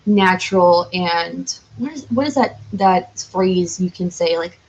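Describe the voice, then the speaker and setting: A woman's voice: fairly low pitch, expressive, a little slow, highs cut off, a little hiss or hum. A woman chatting casually as a podcast guest, with an enthusiastic, giggly and informal way of talking. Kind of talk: casual interview chat.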